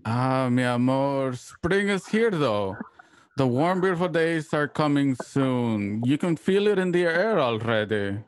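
A man playing a gentle, earnest and concerned frontier husband in a radio drama. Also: mid-range voice, animated, measured pace, clear, resonant tone, no echo, lightly acted. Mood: affectionate. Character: tender, concerned frontier husband who mixes in Spanish endearments.

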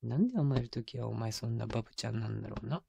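A man's voice: racing, gravelly, mellow, breathy edge, exaggerated voice. A man playing a teasing, doting young boyfriend in an intimate ASMR roleplay, talking casually and playfully with bits of baby talk, close to a binaural mic. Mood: doting, affectionate. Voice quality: mid-low voice. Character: teasing, doting young boyfriend babying his exhausted, clingy girlfriend. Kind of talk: intimate ASMR roleplay drama, addressed to the listener. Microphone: close binaural microphone.

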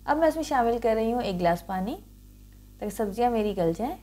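Higher-pitched woman narrating a recipe. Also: animated, fast talker, mellow. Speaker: cooking show host.

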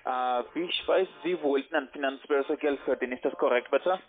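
A man's voice: medium pitch, fairly quick, faint music behind. A man who is not a native German speaker, making a sales call as a call centre agent. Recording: tinny phone line.

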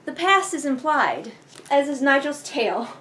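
A woman's voice: sits high, big pitch swings, normal speed, a bit bright. A young woman giving casual, rambling, sarcastic commentary and swearing offhand, recorded indoors a few feet from the camera. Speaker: book reviewer reading along. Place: living room couch, indoors. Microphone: camera a few feet away. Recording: noisy living room camera audio.

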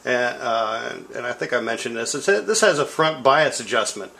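A man's voice: medium pitch, lively intonation, normal speed, vocal fry, sharp tone. A middle-aged man giving a casual, unscripted equipment demonstration in a rambling, self-deprecating way. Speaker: tape deck seller demonstrating equipment. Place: indoor room at home. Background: music from record and tape playback.

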